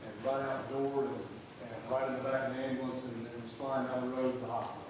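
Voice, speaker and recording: A man telling his wife's emergency story at a public meeting, with a loud voice and a halting way of talking, full of fillers. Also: mid-range voice, little pitch movement. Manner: halting, many fillers and restarts. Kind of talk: personal account at a public meeting. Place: county board meeting room. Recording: echoey room, mic at a distance, noisy.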